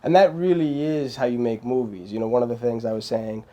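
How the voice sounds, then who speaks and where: A man guest on a talk show, speaking in a warm, dark tone at a middle pitch. He rambles with animation and restarts his sentences often. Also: steady tone, a little fast, lo-fi sound. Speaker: filmmaker guest. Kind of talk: casual interview chat.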